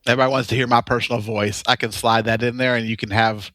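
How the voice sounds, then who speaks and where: A man's voice: medium pitch, flat delivery, fast talker, a bit bright, no echo. A man co-hosting a podcast with casual, teasing banter. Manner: joking, teasing. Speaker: podcast co-host.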